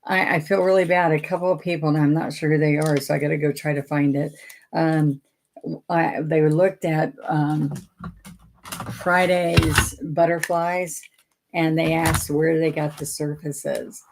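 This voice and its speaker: A woman's voice: sits low, little pitch movement, unhurried, low-quality recording. An older woman, chatting folksily as she teaches a painting lesson and greets viewers by name. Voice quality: raspy. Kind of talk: casual livestream painting lesson.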